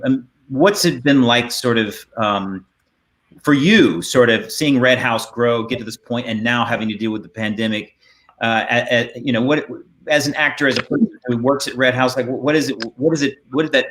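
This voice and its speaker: A man hosting a casual interview over a video call, halting and rambling as he repeats words. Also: medium pitch, a bit bright, slight background noise. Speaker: host and theater director. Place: indoor room on a video call.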